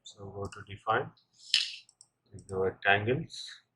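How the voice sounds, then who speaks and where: Slow, low-voiced man giving software tutorial. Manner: halting short phrases, frequent check-in tags. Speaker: instructor. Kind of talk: software tutorial, step-by-step narration. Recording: clear background noise, keyboard clicks.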